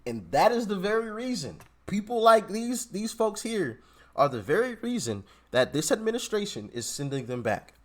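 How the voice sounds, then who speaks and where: A man's voice: sits high, sing-song, moderate pace, fairly bright tone. A man hosting a reaction video, commenting casually and animatedly, with exclamations and restarts.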